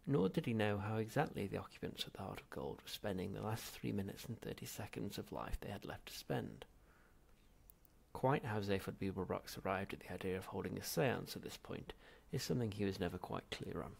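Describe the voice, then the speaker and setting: A man reading a comic science fiction novel aloud as an expressive audiobook narrator, with a gravelly, husky voice. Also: on the low side, brisk. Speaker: audiobook reader.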